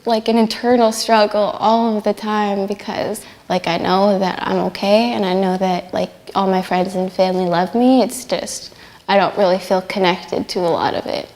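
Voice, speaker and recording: A woman student talks quietly in an interview. She hesitates often and leans on fillers as she goes. Her voice sits at an ordinary middle pitch. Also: fairly even intonation, normal speed, long reverb tail. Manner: soft-spoken, filler-heavy, hesitant. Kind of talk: documentary interview.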